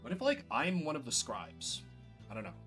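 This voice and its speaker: A man's voice: fairly high pitch, huge pitch swings, normal speed, over music. A man giving casual livestream game commentary, musing and thinking aloud as he plays. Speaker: streamer playing and voicing a game.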